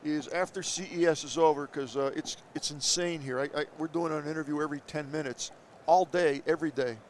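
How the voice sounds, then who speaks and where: A man's voice: on the high side, fairly even intonation, slight background noise. Effusive male trade show host. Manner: enthusiastic, effusive, quick to interject. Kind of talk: live trade show interview. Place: busy trade show floor. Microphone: headset.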